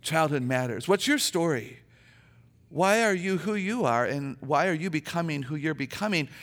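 A middle-aged woman with a naturally low voice, a chatty, humorous and earnest guest speaker giving a sermon with personal stories in a church. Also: animated, normal speed.

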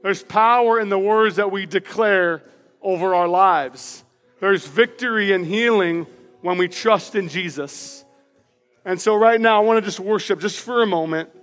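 Loud, passionate high-voiced pastor in hall. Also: male voice, a little slow, soft background music. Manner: reads personal declarations aloud with emphasis. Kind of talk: sermon. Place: church sanctuary.

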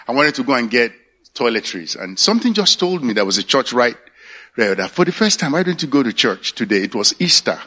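A man in his sixties with a Nigerian accent, giving a halting personal faith testimony at a church service. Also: medium pitch, very animated, sharp tone, slightly rough. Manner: halting, searching for words. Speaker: church elder candidate.

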